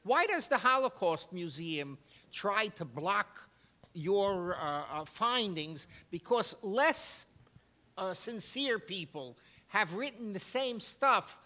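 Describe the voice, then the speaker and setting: An audience member, a man, asks his question haltingly with many restarts. His voice is high and bright, and it moves up and down a lot. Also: very animated. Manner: hesitant, halting.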